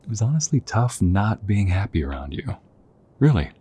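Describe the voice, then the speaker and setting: Man acting a deep, husky young soldier, affectionate. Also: big pitch swings, normal speed. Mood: warm, reminiscing. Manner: gentle, affectionate, hesitant, chuckling conversational delivery. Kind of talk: intimate audio roleplay acting.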